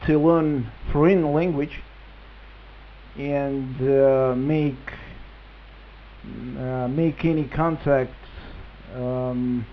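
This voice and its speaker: Male ham operator, Russian accent, very slow hesitant English, casual radio chat, phone-like sound with faint hiss. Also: medium pitch. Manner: halting non-native English with long hesitations. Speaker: amateur radio operator, reflector administrator. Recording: tinny band-limited radio link with hiss.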